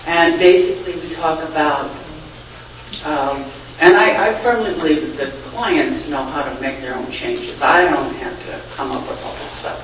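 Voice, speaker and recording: A man with a somewhat high voice tells an earnest, reflective story about his group work. He sounds distant from the mic in a very echoey room, with clear background noise. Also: normal speed, a bit bright. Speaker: group facilitator at a psychiatric unit. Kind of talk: sharing a story in a group meeting.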